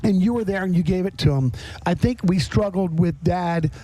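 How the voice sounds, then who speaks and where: A man's voice: high voice, moderate pace, warm tone. A middle-aged man hosting a podcast, chatting casually in a rambling, self-interrupting way. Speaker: podcast host.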